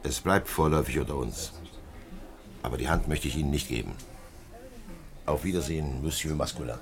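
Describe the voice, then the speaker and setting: An actor playing a calm, dogged middle-aged French police commissioner in a 1950s German radio drama, questioning in a measured, terse way. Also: male voice, extremely low pitch, vocal fry. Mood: dry, firm. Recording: noisy vintage 1950s radio recording.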